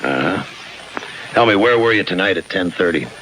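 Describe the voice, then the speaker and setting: A noisy old radio recording carries a low-pitched hardboiled police lieutenant. He is laconic and wry, delivering noir dialogue and narration with deadpan sarcasm. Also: moderate pace. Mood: dry, needling. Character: hardboiled, sardonic male police homicide lieutenant narrating his case. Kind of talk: 1950s radio crime drama acting, noir narration.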